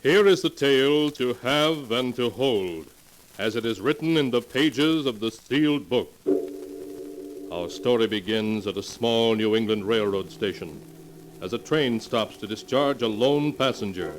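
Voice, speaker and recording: A man narrating a 1940s radio horror show as its announcer, in a solemn, theatrical, deliberate manner. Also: mid-range voice, slight background noise. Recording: long cavernous reverb, vintage radio broadcast.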